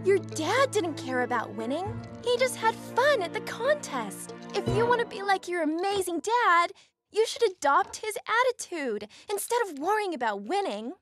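Sweet encouraging young girl for kids cartoon, super high, reassuring, music under it. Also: big pitch swings, moderate pace, a bit bright, heavily acted. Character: kind, encouraging young girl friend, sincere and caring. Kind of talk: children's animated series dubbing.

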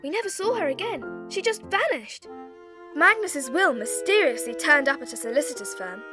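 A woman's voice: sits high, lively intonation, moderate pace. A woman with a British accent narrating a dramatized children's storybook. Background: music.

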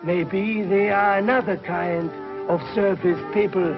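A servile creature of the underworld, with a high, deferential voice. It fawns on its master in old sci-fi serial style, on a muffled soundtrack with music underneath. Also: male voice, fairly even intonation, measured pace. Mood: awed, servile. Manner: deferential, fawning. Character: servile subworld creature attending a tyrant. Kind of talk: 1950s television serial acting.

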